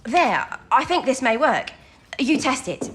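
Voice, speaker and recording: An actress playing an eager, warm-hearted young female codebreaker in a wartime audio drama. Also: sits high, sing-song, measured pace, a little hiss or hum, exaggerated voice. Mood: focused. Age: young adult.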